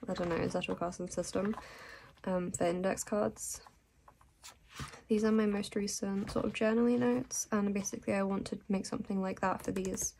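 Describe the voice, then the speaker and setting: Hoarse girl with a cold, gravelly and mellow, soft little voice-over, faint hiss. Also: mid-range voice, steady tone. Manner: soft, unhurried and rambling with little laughs. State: sick and losing her voice. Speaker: vlogger. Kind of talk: casual voice-over journaling narration.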